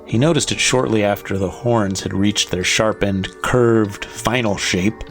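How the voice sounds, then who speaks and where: Low, gravelly male horror narrator. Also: steady tone, a bit bright. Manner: measured, deliberate, dramatic. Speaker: story narrator. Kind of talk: scripted horror story narration. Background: faint music.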